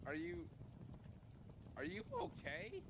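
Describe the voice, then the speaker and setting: Very animated young man, casual chat. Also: extremely high pitch, slow, creaky, background noise. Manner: jokey, profane interjections. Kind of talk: casual chat, reacting to a friend's story.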